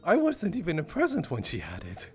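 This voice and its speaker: A man's voice: high voice, wildly swooping pitch, normal speed, darkish tone. A man streaming improvised game roleplay with casual asides, sounding bewildered and hesitant. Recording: guitar music behind the voice.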